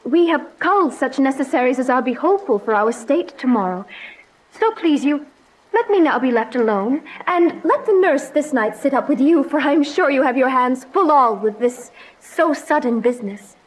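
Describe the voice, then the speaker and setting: A high-pitched young girl bride from Renaissance tragedy, played by a woman. She speaks archaic verse with earnest, anguished devotion, in the dramatic style of classical radio theatre. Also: expressive, moderate pace, rough recording. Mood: polite, guarded.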